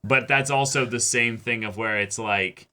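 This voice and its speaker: A man's voice: medium pitch, moderate pace, sharp tone. A man co-hosting an anime reaction show, talking casually through an episode. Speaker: co-host of an anime reaction channel.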